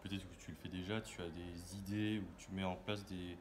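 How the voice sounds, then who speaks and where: A man hosting a casual interview with a friendly, halting way of asking questions. He often restarts his sentences. His voice is on the low side, with faint noise behind it. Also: little pitch movement. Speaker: interviewer, host.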